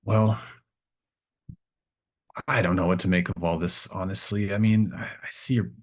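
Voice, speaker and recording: A man voicing an elderly small-town gardener woman in a tabletop game, stunned and rambling in a halting, wondering way. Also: low-pitched, steady tone. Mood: stunned, musing.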